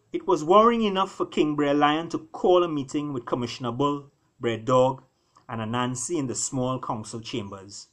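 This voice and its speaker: A man, an author reading his own work, narrates an animal fable expressively, with deliberate, dramatic phrasing and pauses. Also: mid-range voice, fairly bright tone.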